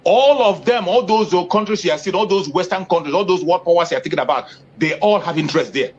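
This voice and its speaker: A man's voice: quick pace, slight background noise. A man, speaking as an activist in an interview, emphatic, repeating phrases for force. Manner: emphatic, repeats phrases for force, rising pitch when stressing points. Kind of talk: impassioned political interview talk. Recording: dull lo-fi audio.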